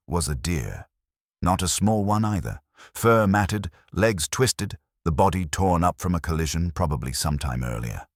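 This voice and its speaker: A man with a raspy, very low voice tells a first-person horror tale as a scripted narration. His delivery is dramatic and full of suspense, with a widely moving pitch, captured in a very dry, close sound. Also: no room sound.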